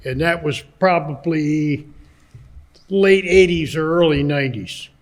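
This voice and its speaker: An older man speaks up at a town hearing in a loud, plain-spoken voice. He talks slowly, and his pitch sits on the high side. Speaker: retired treatment plant worker. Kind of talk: public comment at a hearing. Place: town meeting hall.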